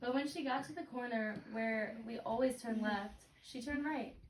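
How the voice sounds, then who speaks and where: A woman's voice: on the high side, normal speed. A woman reading a picture book aloud expressively to a child, as if leading a read-aloud lesson. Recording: roomy, distant mic, clicks and clear noise.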